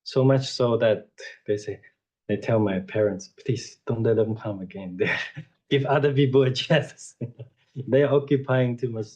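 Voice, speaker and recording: A man gives an informal dharma lecture over a video call, unhurried and rambling, with frequent "you know" and self-corrections. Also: mid-range voice, darkish tone. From Taiwan. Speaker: Buddhist teacher giving a talk. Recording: dull lo-fi online audio.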